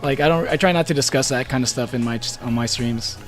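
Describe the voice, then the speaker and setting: A man's voice: medium pitch, fast talker, soft background music. A man streaming a game live, chatting casually with his viewers, rambling with lots of fillers and frequent laughter. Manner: rambling, laughs often, many restarts and fillers. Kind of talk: casual live-stream chat while gaming.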